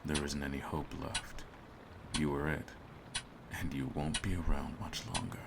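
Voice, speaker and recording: A man playing a cold, smug hitman in an audio roleplay, speaking in a theatrical, menacing and deliberate way. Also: extremely low pitch, steady tone, creaky, heavy background noise. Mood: cold, composed menace. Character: cold, smug male hitman holding his target at gunpoint. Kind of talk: scripted ASMR audio roleplay.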